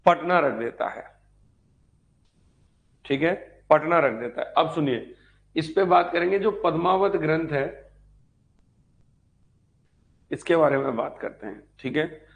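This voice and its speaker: A man's voice: on the high side, lively intonation, slow, creaky, long pauses. A man giving an informal online history lecture as a teacher, repeating key points and asking check questions. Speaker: history teacher for exam preparation.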